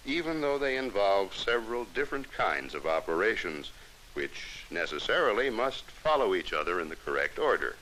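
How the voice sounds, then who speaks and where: An old, faintly hissy early-1960s film soundtrack carries an American man's narration. His voice is crisp and forward, with lively rises and falls. He reads formally and instructively, like the scripted educational films of that time. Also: low voice, rough recording.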